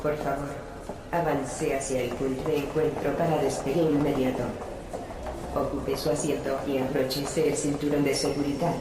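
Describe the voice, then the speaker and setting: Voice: mid-range voice, moderate pace, lightly acted. A voice playing a ship's computer, making formal, impersonal scripted announcements in an audio drama. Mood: calm, impersonal. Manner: flat, impersonal announcement delivery. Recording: very echoey, distant, noisy.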